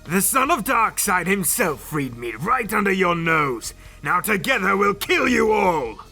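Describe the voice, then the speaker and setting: A proud alien prince, with a forceful, highish male voice. He is haughty and taunting, every bit the cruel anime-style warrior of a fan drama, with music underneath. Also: big pitch swings, unhurried, vocal fry, breathy edge, heavily acted. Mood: gloating.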